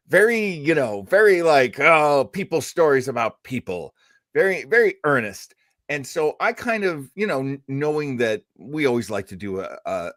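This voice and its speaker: A man hosts a casual radio show with a very animated voice of middling pitch. He rambles and halts as he introduces programs, and the sound is dry, with little room. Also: dry recording. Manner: rambling, halting delivery. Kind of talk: casual chat introducing old radio shows.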